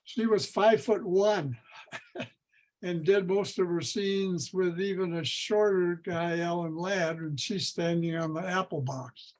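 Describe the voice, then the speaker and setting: A man's voice: high voice, fairly even intonation, slow pace. A man chatting casually on a video call as a club member. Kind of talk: casual chat.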